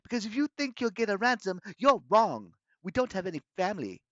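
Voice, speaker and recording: A man voicing a sharp-tongued, defiant young girl in a storybook reading, blunt and indignant. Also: high-pitched, sing-song, fairly quick, dry recording, highs cut off, voice pushed up.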